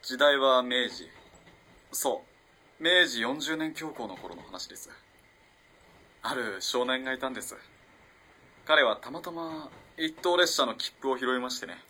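A man's voice: mid-range voice, animated, a little slow, pauses often, sharp tone, breathy edge, full character voice. An actor playing a polite, earnest, easily flustered young male student in a radio drama. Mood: thoughtful, earnest. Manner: polite, eager, hesitant. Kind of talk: Japanese radio drama acting.

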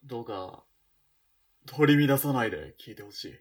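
A man playing an awkward, evasive former soldier in a radio drama, speaking in a hesitant, halting way. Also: medium pitch, animated, natural voice. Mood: grave, subdued. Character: awkward, evasive male ex-soldier, reluctant bearer of news. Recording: distant from the mic.

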